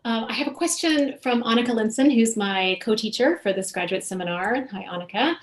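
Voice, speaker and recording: A woman's voice: fairly high pitch, brisk. A woman moderating a graduate seminar Q&A, reading audience questions aloud with frequent ums and uhs.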